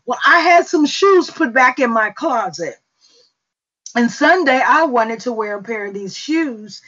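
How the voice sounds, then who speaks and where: Middle-aged Southern woman, Mississippi accent, slow testimony pace, slightly high voice, muffled call audio. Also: expressive, a bit bright. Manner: slow, unhurried storytelling with fillers. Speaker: prayer call host.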